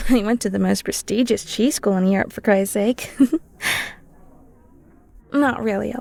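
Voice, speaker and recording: A woman's voice: medium pitch, gravelly, darkish tone. A woman playing a playful, flirty cheesemonger in an intimate, teasing audio roleplay. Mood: chatty, teasing. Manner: bubbly, teasing, giggly, breaks into near-whispers.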